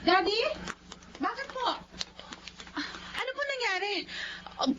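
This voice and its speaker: An actress playing an indignant, unforgiving grown daughter with a Filipino accent, sharp and melodramatic in a radio drama. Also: female voice, extremely high pitch, slow. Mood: alarmed. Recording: muffled, noisy radio drama audio.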